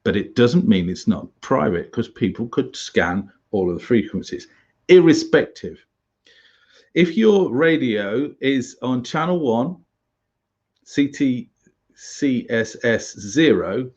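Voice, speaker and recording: A British man giving an informal tutorial as an instructor, halting and chatty with wry asides. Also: lowish voice, a little mellow, lo-fi sound. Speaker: survival instructor, presenter.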